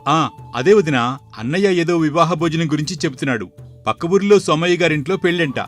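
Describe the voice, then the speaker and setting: A man's voice: fairly high pitch, brisk, soft background music, close to an everyday voice. A man voicing a village cook's assistant, a plain-spoken underling, in a cartoon moral story. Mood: eager, friendly.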